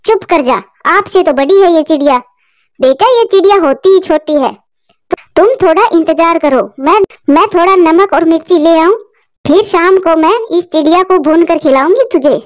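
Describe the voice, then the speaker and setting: Woman playing a cartoon villain mother eagle, high voice, greedy, bossy, menacing, dry recording. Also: moderate pace, dry recording. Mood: bossy, scheming. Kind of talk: children's cartoon voice acting.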